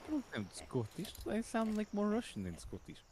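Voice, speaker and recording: A man's voice: sits high, wildly swooping pitch, normal speed, a little mellow, a little hiss or hum. Stammering man with a put-on accent. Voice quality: put-on accent that others hear as Scottish. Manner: stammering, mock-offended, insists he is French. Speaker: roleplayer. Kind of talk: in-character roleplay chat.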